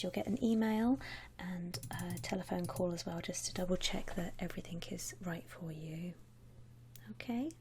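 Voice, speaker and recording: A woman voicing a friendly, attentive vampire saleswoman in a gentle, breathy ASMR whisper, close to the mic. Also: lowish voice, a little hiss or hum, lightly acted. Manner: gentle, reassuring, chatty customer-service talk with pauses. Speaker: ASMR roleplay performer. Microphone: close ASMR microphone.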